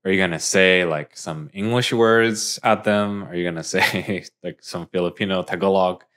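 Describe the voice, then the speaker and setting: A man's voice: sits low, steady tone, moderate pace, a bit bright. A young man co-hosting a podcast in a small room, asking long rambling questions full of fillers into a microphone on a stand. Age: young adult. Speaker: podcast co-host. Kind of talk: casual chat. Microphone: boom mic on stand.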